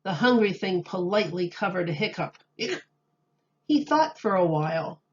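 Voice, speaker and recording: A woman reading a children's picture book aloud like a teacher, in a deliberate, expressive way. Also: fairly low pitch, lo-fi sound. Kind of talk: children's storybook read-aloud.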